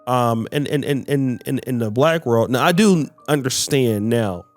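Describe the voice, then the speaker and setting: A man's voice: medium pitch, a little slow, soft background music. A man hosting a podcast discussion, often stumbling and repeating words as he searches for his phrasing. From United States. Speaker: podcast host.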